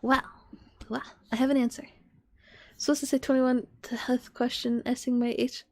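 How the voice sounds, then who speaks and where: A woman of twenty-one chatting to her stream in a soft ASMR whisper, rambling and giggly. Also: high-pitched, little pitch movement, normal speed.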